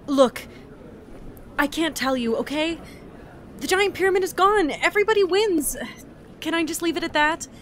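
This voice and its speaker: A woman playing an awkward, excitable otherworldly entity in an audio drama, hesitant and easily flustered. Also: very high-pitched, moderate pace, pauses often, a little hiss or hum. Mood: flustered, evasive.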